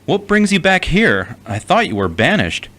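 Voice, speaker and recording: A man's voice: on the high side, sing-song, normal speed, sharp tone, full character voice. An actor playing a guarded, skeptical man in an audio drama, with a terse, dry, blunt delivery.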